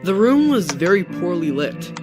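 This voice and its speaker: A young man tells a scripted spooky story in the first person. His voice sits a little high for a man. Guitar music runs clearly underneath the narration. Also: sing-song, a little slow.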